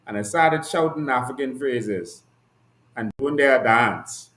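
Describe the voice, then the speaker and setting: Bahamian host, mid-pitched voice, fiery rhetorical delivery, recorded in an echoey room. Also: male voice, takes it slowly, a bit bright. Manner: passionate, rhetorical and emphatic, with repeated declarations. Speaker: host and activist. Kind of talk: impassioned political commentary.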